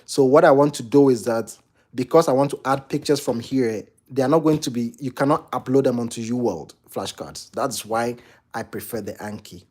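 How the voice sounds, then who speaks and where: Young Ghanaian man, mellow voice. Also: medium pitch, normal speed. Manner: chatty, many fillers and restarts. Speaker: medical exam tutor. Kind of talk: casual Q&A walkthrough. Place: home near a kitchen.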